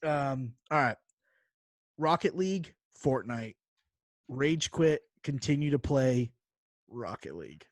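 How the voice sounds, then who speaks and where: A man's voice: medium pitch, expressive, slow pace, pauses often, dry recording. Casual male podcast host, dry sound. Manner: slangy, informal banter. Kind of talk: casual chat.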